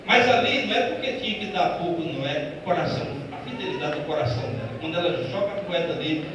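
A Brazilian Portuguese pastor with a fairly high voice preaches in a church, his voice rolling around a big echoing hall. He is picked up from across the room, with ambient noise underneath. He swells from hushed, quick asides into loud, slow, stretched-out declarations. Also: lively intonation. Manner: preaching with swells from quiet to loud, drawn-out emphatic phrases; addresses listeners as "irmão" and asks them questions. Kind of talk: Pentecostal sermon. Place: church sanctuary. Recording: echoey church hall from a distance.

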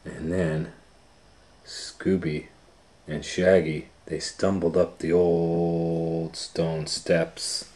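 Slow, low-voiced man reading to kids. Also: little pitch movement, a little mellow, roomy sound. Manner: unhurried, informal. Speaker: storytime host and reader. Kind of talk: casual children's story reading. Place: small plain room indoors.

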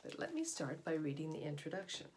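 Middle aged lady reading a kids book out loud, slightly low voice, deliberate and expressive, recorded at home. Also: noisy background. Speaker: read-aloud storyteller. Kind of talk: reading a children's nonfiction book aloud. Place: small indoor room at home, in front of wooden doors. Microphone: camera or device mic at about arm's length.

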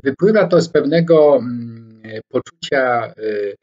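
Slow, hesitant older man lecturing from home. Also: mid-range voice, lively intonation, darkish tone. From Poland. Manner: slow and deliberate, frequent hesitation fillers, gestures with both hands. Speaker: scholar commentator. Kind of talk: reflective spoken lecture to camera. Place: home study with bookshelves, indoors. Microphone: webcam or laptop microphone, head-and-shoulders framing. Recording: dull lo-fi webcam sound.

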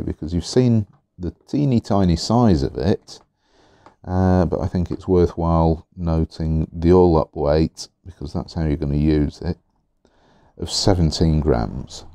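A man reviewing fountain pens in an easygoing, chatty way, a little hoarse, with fillers and repeated words. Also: deep voice, warm tone, no room sound. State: slightly hoarse. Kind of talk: relaxed product review. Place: indoors at a desk. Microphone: overhead camera on the hands, speaker not in frame.

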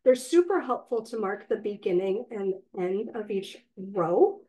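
Woman, hoarse from a cold, slightly raspy, patient crochet instructor, counts stitches aloud. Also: medium pitch, lively intonation, unhurried, mellow, low-quality recording. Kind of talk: step-by-step craft tutorial. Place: indoors, online class.

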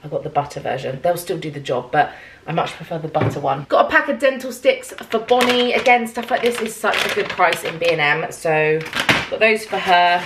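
A young woman chatting to camera in her kitchen about her shopping haul, upbeat and lively. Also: fairly low pitch, brisk, noisy background. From England. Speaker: vlogger. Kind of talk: casual haul video talk to camera. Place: kitchen at home, indoors.

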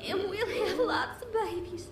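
A woman voicing an anxious, devoted young country mare in a dramatic animated comic, earnest, hesitant and pleading. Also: very high-pitched, measured pace. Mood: tearful pleading. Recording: echoey, distant, music over voice.

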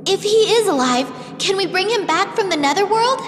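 A woman's voice: extremely high pitch, lively intonation, normal speed, echoey room, long reverb tail. A woman voicing a young, girlish fairy royal, earnest and petulant, in a fantasy video game.

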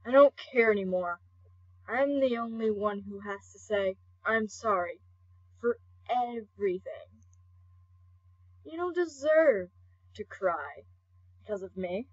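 A woman voicing a brooding, hot-tempered, guilt-ridden male skeleton royal guard in an amateur comic dub, halting and intense. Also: medium pitch, expressive, no echo. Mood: subdued, guilty. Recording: dull lo-fi home recording.

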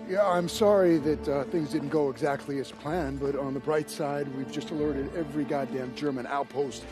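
An actor playing a sardonic, cocky American soldier in a war video game cutscene, with an American accent. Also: male voice, fairly high pitch, steady tone, normal speed, over music, natural voice. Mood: sarcastic, impatient.

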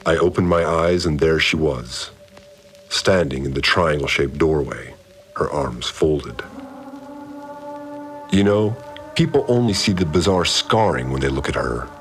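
An actor playing a calm, cryptic male cult priest, narrating his log in a measured, solemn way. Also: a bass voice, heavily acted. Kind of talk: scripted audio drama narration. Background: soft music.

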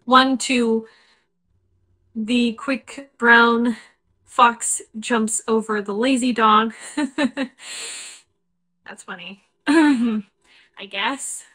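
A woman's voice: on the high side, fairly even intonation, drawn-out words, long pauses, fairly bright tone, breathy edge. A synthetic female voice reading a short text sample.

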